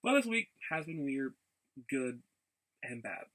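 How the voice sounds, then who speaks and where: A man giving a casual, rambling vlog update in a gravelly voice, haltingly and often repeating his phrases. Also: mid-range voice, sing-song, fairly bright tone, rough recording. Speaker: video blogger.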